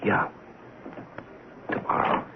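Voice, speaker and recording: An actor playing an exhausted, defeated male patient, halting and mumbling in a radio drama. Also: natural voice. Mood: defeated, weary. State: weary. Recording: muffled radio recording, faint hiss.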